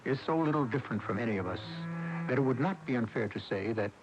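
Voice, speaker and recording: An actor with an American accent as a philosophical host, delivering measured, literary narration for a mystery radio drama. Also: male voice, medium pitch, expressive, close to an everyday voice. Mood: musing, ominous. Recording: noisy muffled AM radio airing.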